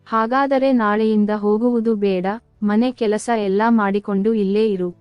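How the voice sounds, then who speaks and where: A woman acting as a village mother-in-law from a cartoon folk tale. Her voice is clear and very mellow, recorded dry. She is curt, nagging and full of distrust. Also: mid-range voice, steady tone, a little slow, no echo, soft background music, close to an everyday voice. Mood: scolding. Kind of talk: dubbed animated moral story.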